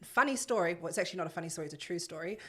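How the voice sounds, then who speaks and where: A woman's voice: lowish voice, lively intonation, rapid-fire, pushed and bright, a little hiss or hum. Chatty, laughing woman podcast host. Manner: chatty and enthusiastic, laughs often. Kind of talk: casual podcast interview. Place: studio.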